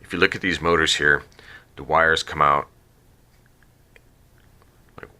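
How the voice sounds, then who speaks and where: A man with a cold, sniffly and croaky, casually explaining a drone build at his workbench, rambling with many ums and restarts. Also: rumbling low, fairly even intonation, a little slow, sharp tone. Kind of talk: casual how-to build video.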